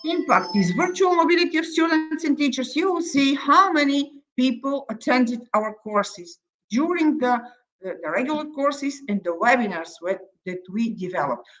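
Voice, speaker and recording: A woman from Bulgaria with a Bulgarian accent presents a project as its coordinator, in a halting, emphatic way that repeats words. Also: on the high side, expressive, a bit bright, low-quality recording. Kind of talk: conference presentation.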